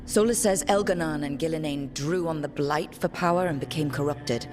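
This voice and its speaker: A resolute fantasy party leader, played by a woman, with a low, firm voice. She speaks decisively, like a game heroine in charge, with loud music underneath. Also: normal speed, lightly acted. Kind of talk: video game cutscene acting.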